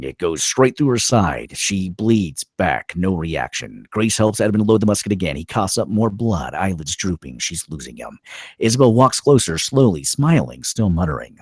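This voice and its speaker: A man reading screenplay directions as a dramatic narrator, in clipped, suspenseful phrases with pauses for effect. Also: low-pitched, very animated, breathy edge, no room sound. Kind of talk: dramatic scripted narration at a table read.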